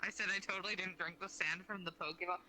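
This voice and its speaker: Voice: mid-range voice, steady tone, fast. A talkative speaker trading joking, sweary banter in an online role-play chat. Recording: clearly noisy mic with clicks.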